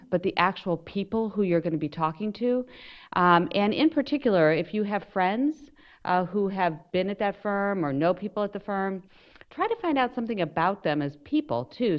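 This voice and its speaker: A woman panelist with a loud voice, giving direct, conversational advice to law students. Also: on the low side. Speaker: panelist, former federal prosecutor. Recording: dull lo-fi sound.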